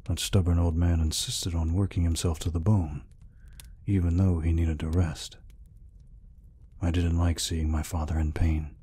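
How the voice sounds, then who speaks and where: A man voicing a gentle, peaceful orc healer in an ASMR roleplay, speaking softly and reassuringly without hurry. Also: little pitch movement. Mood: fond, reminiscing. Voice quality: deep, husky, breathy. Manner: soft, unhurried, reassuring. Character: gentle, peaceful male orc healer, soft-hearted caretaker.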